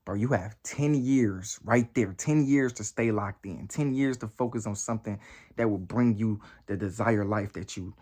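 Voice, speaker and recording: A nineteen-year-old man giving a motivational talk, emphatic and repetitive, with heavy slang and swearing, his voice climbing high and loud when he presses a point. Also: normal speed. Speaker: motivational vlogger.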